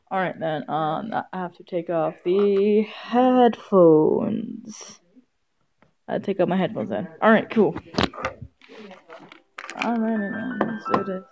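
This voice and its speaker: Slow, halting woman streamer, dull lo-fi mic. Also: medium pitch. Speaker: game streamer. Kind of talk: casual live-stream chat while setting up and playing a visual novel. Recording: dull lo-fi mic with music behind.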